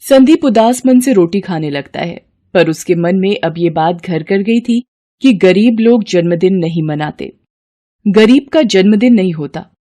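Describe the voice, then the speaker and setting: A woman's voice: medium pitch, lively intonation, measured pace, warm tone. A woman storyteller giving scripted narration of a moral tale.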